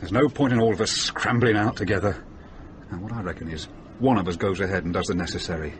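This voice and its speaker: An actor playing a worldly, wisecracking middle-aged van driver in a radio drama, blokey and matter-of-fact. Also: male voice, low-pitched, fast talker, close to an everyday voice. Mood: decisive, wry. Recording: noisy radio drama with background ambience.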